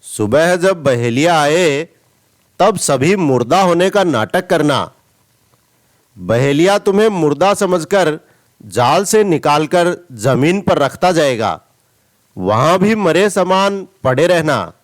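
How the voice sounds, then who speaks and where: A man reads out a wise old uncle goose from a moral storybook. He is patient and cautioning, explaining very slowly and instructively, in a higher-set voice with wide melodic swings. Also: animated. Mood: calm instructing.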